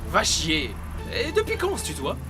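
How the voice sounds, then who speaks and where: Young male rookie agent, acted by a man: high voice, very animated, indignant, music underneath. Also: normal speed. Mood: exasperated. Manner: whiny, indignant, cursing. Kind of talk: audio drama acting.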